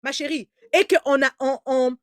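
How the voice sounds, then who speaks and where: A woman's voice: high-pitched, unhurried, no echo, clean studio sound. A woman giving heated live commentary in an emphatic, repetitive way, stammering as she restarts, whose first language is German. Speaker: online commentator.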